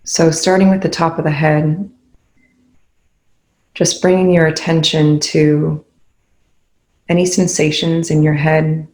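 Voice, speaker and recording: Meditation guide, calm and instructive, mid-pitched with noticeable vocal fry, leaving space between phrases. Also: takes it slowly, pauses often, rough recording.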